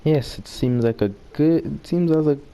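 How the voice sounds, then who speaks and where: A man's voice: a little high, moderate pace, crowd noise. A man hosting a gaming video with casual, joking commentary, playful and theatrical.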